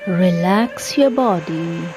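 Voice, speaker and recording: A woman leading a guided relaxation meditation, giving soothing, gentle instructions with long pauses between phrases. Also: lowish voice, animated. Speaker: yoga meditation guide and channel host. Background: soft instrumental music.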